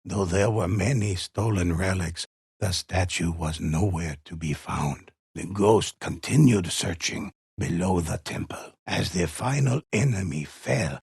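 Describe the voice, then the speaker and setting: Old legend-teller, very husky, low-pitched, solemn, deliberate, ominous game narration. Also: male voice, darkish tone, no echo, clean studio sound, exaggerated voice. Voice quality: gravelly, husky. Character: grave old male storyteller narrating a dark legend of ghost warriors. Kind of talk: dramatic scripted game narration, folk-tale telling.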